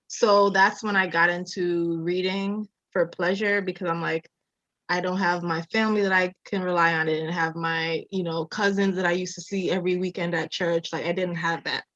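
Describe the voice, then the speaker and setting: A woman's voice: medium pitch, a bit bright, no room sound, muffled recording. A woman in her thirties, born in Jamaica and raised in Massachusetts, telling her story in a casual interview with a reflective manner and frequent laughs. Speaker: architecture designer, guest.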